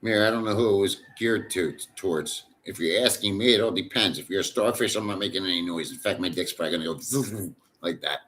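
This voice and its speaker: A man's voice: sits low, fairly quick, a bit bright, a little hiss or hum. A man hosting a casual livestream, reading chat aloud and rambling in a crude, profane way.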